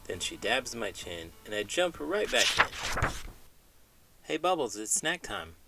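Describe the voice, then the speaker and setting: A low-voiced man performs a children's read-aloud with music behind him. His delivery swings widely in pitch, with dramatic emphasis on key words. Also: big pitch swings, measured pace, vocal fry. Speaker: children's book reader, elementary school teacher. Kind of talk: expressive storybook read-aloud with puppet banter.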